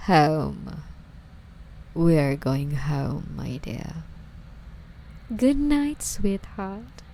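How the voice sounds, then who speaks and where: Woman acting out a slow, mellow, obsessive yandere stalker. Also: deep voice, lively intonation, slight background noise, full character voice, rough recording. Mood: tender, possessive. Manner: slow, coy, breathy delivery slipping into whispers. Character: obsessive yandere female stalker, sweetly menacing, coddling her captive. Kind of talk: ASMR roleplay.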